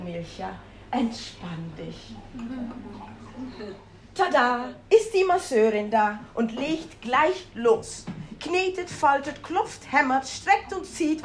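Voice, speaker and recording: A woman's voice: sits high, slight background noise. Playful Dutch-accented woman telling a comic tale. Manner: playful, self-mocking, exclamatory. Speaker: comic storyteller. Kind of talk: reading a humorous story aloud. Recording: roomy with faint ambience.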